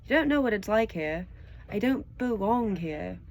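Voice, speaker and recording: Storybook schoolboy, twelve, read by a woman: earnest, worried, protesting, medium pitch. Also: lively intonation, moderate pace, a little mellow, close to an everyday voice. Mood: dismayed, protesting. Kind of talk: storybook character reading.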